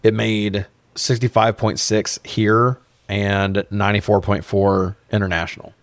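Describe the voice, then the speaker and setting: Joking, low-voiced man in a dry recording. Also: level tone, unhurried, no room sound. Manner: profane, joking, often laughing as he talks. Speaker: podcast co-host. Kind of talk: casual comedic film review chat.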